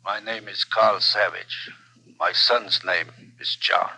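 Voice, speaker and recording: An actor playing a grieving, bitter older father who speaks in halting broken English, on an old radio drama recording. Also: fairly low pitch, fairly even intonation, sharp tone, breathy edge, exaggerated voice. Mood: grim. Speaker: witness. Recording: dull old radio broadcast.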